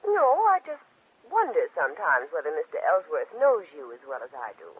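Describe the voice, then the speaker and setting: Husky scheming ex wife, fake sweet and threatening, lilting delivery, tinny old radio. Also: female voice, deep voice, wildly swooping pitch, brisk, exaggerated voice. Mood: needling, menacing. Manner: mock-sweet, needling. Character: silky, menacing scheming ex-wife, female. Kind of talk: 1940s radio drama acting. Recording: phone-like old 1940s radio recording.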